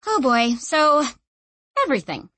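A woman's voice: fairly high pitch, sing-song, moderate pace, fairly bright tone, no room sound, voice pushed up. A woman voicing an ancient sentient object that talks through a young woman's body in a casual, slangy, breezy way. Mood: weary, brisk. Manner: casual, slangy, matter-of-fact explaining.

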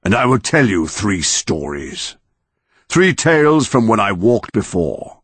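A man voicing an ancient, towering tree monster that speaks in a slow, booming, declaiming way with a gravelly edge. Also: very animated, no echo, full character voice. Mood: solemn.

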